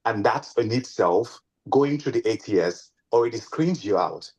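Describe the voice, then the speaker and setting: A man moderating a panel, asking long questions in a halting way and repeating words. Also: medium pitch, animated, darkish tone, slightly rough, rough recording.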